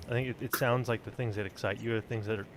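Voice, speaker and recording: Halting, informal man with background noise. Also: lowish voice, fairly even intonation. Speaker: podcast host, writer. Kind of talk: casual chat.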